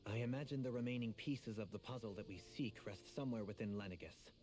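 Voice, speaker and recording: Casting: male actor as eloquent anime-game nobleman, composed and formal, lowish voice, prominent music underneath. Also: fast talker, darkish tone, natural voice. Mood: grave, pondering. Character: composed, scholarly young nobleman pondering a mystery. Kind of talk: anime video game voice acting.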